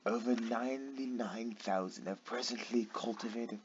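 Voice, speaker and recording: A man's voice: mid-range voice, normal speed. A tired man reading aloud late at night, stumbling over words and breaking off for sarcastic, swearing asides. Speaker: channel host. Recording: dull lo-fi with faint noise.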